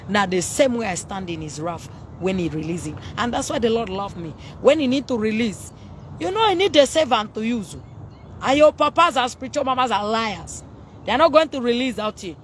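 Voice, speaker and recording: A woman's voice: a little high, slight background noise. A woman, preaching fiery and emphatic on a phone livestream outdoors. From Zambia. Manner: fiery, emphatic, jabbing with her hands. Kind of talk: impassioned preaching on a live stream. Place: outdoors by a hedge. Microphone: phone held at arm's length.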